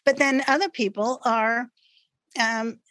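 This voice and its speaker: A woman guest on a podcast, explaining ideas in an animated way and often checking in with "right?" and "okay.". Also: on the high side, slow, no room sound, poor audio. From North America. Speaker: guest expert on patterns.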